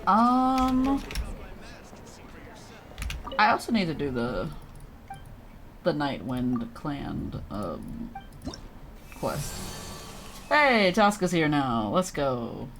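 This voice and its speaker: A woman's voice: sits low, sing-song, drawn-out words, pauses often, a little hiss or hum. A woman livestreaming a video game, chatting casually and reading game text aloud in a giggly, reactive manner.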